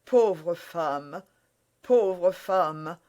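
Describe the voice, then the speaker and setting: A reader voicing a grave, brooding older musketeer who speaks in a low, heavy, broken way. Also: unhurried, natural voice. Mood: horrified. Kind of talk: audiobook character voice.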